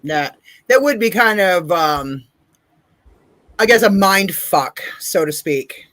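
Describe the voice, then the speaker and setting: Blunt, deep-voiced woman host. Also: expressive, slow, a bit bright, dry recording. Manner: blunt, profane, laughs often. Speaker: podcast host. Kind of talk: casual interview chat.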